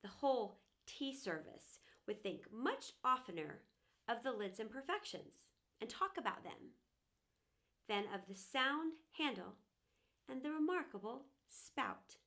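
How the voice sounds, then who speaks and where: A woman hosting a children's storytime, reading aloud in an expressive, dramatic style with emphatic phrasing. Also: a little high, unhurried.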